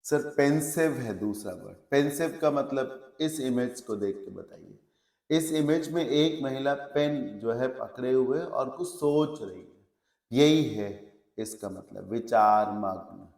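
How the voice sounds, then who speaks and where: A man's voice: medium pitch, slow, vocal fry, a little mellow, echoey room, distant mic. A male English teacher giving an online vocabulary lesson, explaining each word in Hindi mixed with English terms, listing synonyms and checking in with his students. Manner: checks in with the class.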